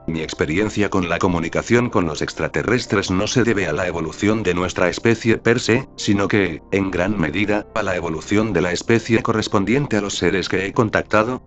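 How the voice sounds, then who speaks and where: Deep-voiced man reading a formal essay. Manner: formal, deliberate, literary phrasing. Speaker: channel host and author. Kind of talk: reading a first-person essay aloud.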